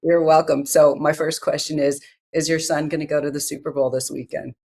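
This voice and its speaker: A woman's voice: low-pitched, level tone, a little fast, vocal fry, darkish tone. A woman from Northern California hosting an interview, asking friendly, chatty questions. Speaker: podcast host.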